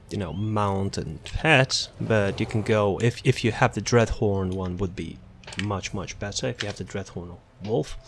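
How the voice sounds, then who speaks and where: A man casually walking through a game character build, relaxed and rambling, with frequent fillers and restarts. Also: fairly low pitch, gravelly, a little hiss or hum. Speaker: gaming content creator. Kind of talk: casual build showcase commentary.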